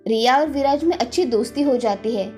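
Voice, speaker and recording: A woman's voice: a little high, brisk, mellow. A woman storyteller giving scripted narration of a children's fairy tale. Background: faint background music.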